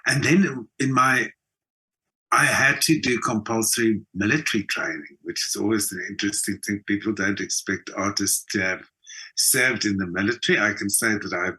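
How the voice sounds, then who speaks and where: A man's voice: on the low side, fairly even intonation, normal speed, pushed and bright, low-quality recording. A South African man telling personal stories conversationally as an interview guest, speaking emphatically and repeating words for emphasis. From South Africa, Johannesburg. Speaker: interview guest, actor and theatre designer. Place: video call from home country, indoors. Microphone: video call.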